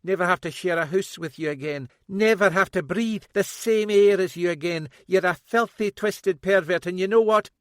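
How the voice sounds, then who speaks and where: A man's voice: high-pitched, normal speed, hardly any pauses, smooth, no echo, studio quality, pitched above the performer's own voice, natural voice. A man doing a scornful young Scottish woman. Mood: contemptuous. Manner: bitter and accusing.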